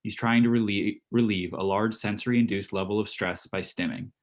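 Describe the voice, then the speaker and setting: A man's voice: on the low side, steady tone, normal speed. A man reading stage directions as scripted narration for a play excerpt.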